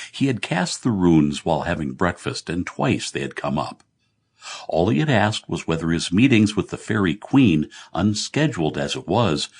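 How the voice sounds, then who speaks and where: A man narrating comic fantasy fiction as an audiobook, telling the story in a measured way. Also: low voice, breathy edge.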